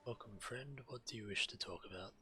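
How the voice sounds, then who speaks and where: A man voicing a friendly, concerned walrus-folk villager in a fantasy game, polite and measured. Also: lowish voice, a crowd in the background, close to an everyday voice. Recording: background game noise.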